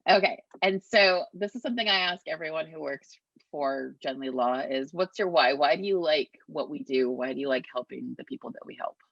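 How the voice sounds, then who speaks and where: A woman attorney hosts a casual chat, answering her guest with quick affirmations. Her voice is very low, gravelly and husky. The recording is dull and lo-fi. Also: no room sound. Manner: affirming, quick short acknowledgements. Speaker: attorney and host. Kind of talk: casual interview. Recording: dull, lo-fi sound.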